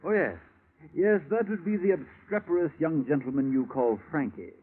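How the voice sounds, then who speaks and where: A man's voice: a little high, normal speed, natural voice. A man acting a suave, smug, corrupt British police chief in a 1940s radio drama, mocking and elaborately polite. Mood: smoothly courteous. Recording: tinny, narrow-band vintage radio recording.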